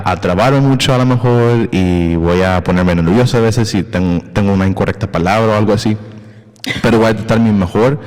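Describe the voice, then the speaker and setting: A man's voice: deep voice, darkish tone, big-room sound. A male podcast host talking casually and hesitantly, with many fillers and English words mixed in. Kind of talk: casual conversational interview.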